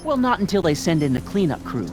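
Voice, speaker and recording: A man's voice: fairly high pitch, big pitch swings, quick pace, over music. An actor voicing a nerdy, self-important middle-aged American father, fussy and officious, in a video game. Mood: earnest.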